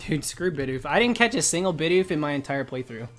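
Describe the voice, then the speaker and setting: A gaming livestream late into the session: a guy with a slightly high voice, going a bit hoarse, talks opinions through with his chat over faint music. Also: lively intonation, fast, fairly bright tone. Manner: opinionated, explanatory, informal. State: losing his voice. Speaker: live streamer, host.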